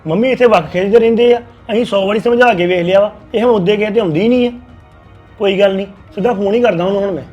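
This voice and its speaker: A man's voice: sits high, fast, darkish tone, dull audio, faint music behind, close to an everyday voice. An actor playing a protective husband who reassures his crying wife and speaks firmly about the family. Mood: reassuring. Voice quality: reassuring, firm. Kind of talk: family drama acting.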